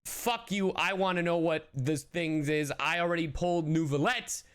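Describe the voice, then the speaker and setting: A man's voice: a little high, steady tone, normal speed, fairly bright tone, clean tone. A man reacting casually on a livestream as its host, joking irreverently and swearing heavily.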